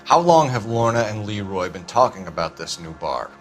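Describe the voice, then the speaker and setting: A man's voice: fairly low pitch, big pitch swings, moderate pace, soft background music. A man playing a stern, by-the-book 1940s police detective in a video game, questioning in a clipped, formal, authoritative way. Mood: stern, pressing. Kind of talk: scripted video game dialogue, 1940s crime drama.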